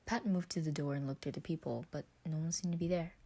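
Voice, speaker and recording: A woman's voice: sits low, steady tone, fast talker, dark tone. A woman reading a story aloud as a scripted narration. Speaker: podfic narrator.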